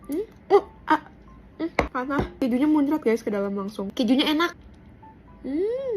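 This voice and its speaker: High Indonesian woman's voice over music. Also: very animated, normal speed. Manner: slangy, mixes in English words. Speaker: food vlogger. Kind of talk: casual mukbang review.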